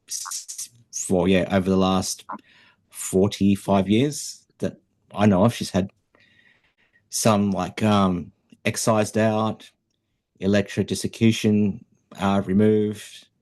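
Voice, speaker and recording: Deep-voiced man, hesitant. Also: a little mellow. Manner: hesitant, many ums, dry humour. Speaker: podcast co-host. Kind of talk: casual chat.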